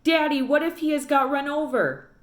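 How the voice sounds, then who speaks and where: A woman's voice: high-pitched, normal speed, voice pushed up, lightly acted. A woman voicing a stubborn, upset young girl pleading with her father in a children's book read-aloud, recorded with roomy echo. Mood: anxious pleading.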